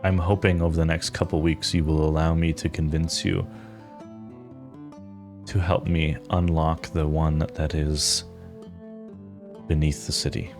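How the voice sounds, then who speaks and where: Tabletop game mother figure male performer: grave, ruthless, devoted, very slow delivery, deep voice, measured pauses. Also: little pitch movement, over music. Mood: measured, earnest. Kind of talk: improvised tabletop roleplay.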